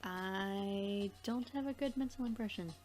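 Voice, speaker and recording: A woman's voice: mid-range voice, measured pace, darkish tone, soft background music. A woman co-hosting a let's play in casual, rambling, giggly chat.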